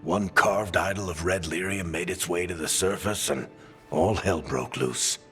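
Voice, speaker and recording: A deep-voiced, weary fantasy storyteller. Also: male voice, measured pace, a bit bright. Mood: troubled. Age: middle-aged. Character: world-weary, gruff older male fantasy adventurer and storyteller. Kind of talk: cinematic game dialogue and dramatic storytelling. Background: music.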